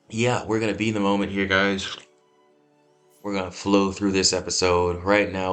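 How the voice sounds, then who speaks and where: Man, very low voice, scratchy, drowsy, easygoing rambling host, faint music behind. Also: a bit bright. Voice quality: scratchy morning voice. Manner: rambling, easygoing, many fillers and restarts. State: just woken up, drowsy. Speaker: let's-play host. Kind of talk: laid-back gameplay podcast chat.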